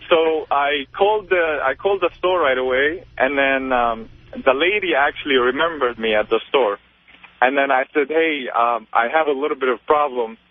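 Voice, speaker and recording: A man in his twenties calling a radio show, telling a story casually and a little haltingly. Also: medium pitch, no echo. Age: 20s. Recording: tinny narrow phone line.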